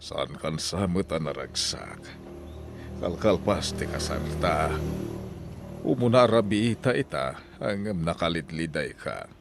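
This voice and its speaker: A man's voice: low voice, expressive, measured pace, creaky, background noise, heavily acted. A man acting an eager new husband in an Ilocano audio drama, coaxing and insistent.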